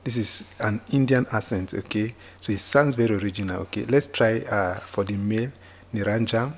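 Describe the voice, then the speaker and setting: A man walking viewers through a software tutorial, often repeating himself and checking in with "okay?". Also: on the low side, normal speed. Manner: repetitive, with frequent "okay?" checks. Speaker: tutorial host. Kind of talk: screen-recorded software tutorial. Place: indoors at a computer, voice-over on screen capture.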